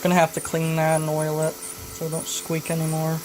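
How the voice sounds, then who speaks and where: A woman talking casually through a hands-on repair demonstration, halting and thinking aloud as she goes. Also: sits low. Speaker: vintage electronics repair hobbyist. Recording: noisy home video, steady background noise. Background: clear background noise.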